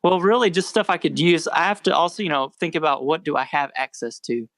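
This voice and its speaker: A quiet-voiced young American man and musician, chatting in a relaxed, rambling way as a podcast guest. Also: a little high, a little fast, no room sound. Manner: rambling, self-correcting, relaxed. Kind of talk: casual podcast interview.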